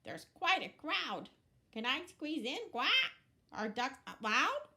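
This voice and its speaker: A woman voicing a loud, cheerful little duck in a picture book. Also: high-pitched, sing-song, slow, pitched above the performer's own voice, heavily acted. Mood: boisterous. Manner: loud, quacking.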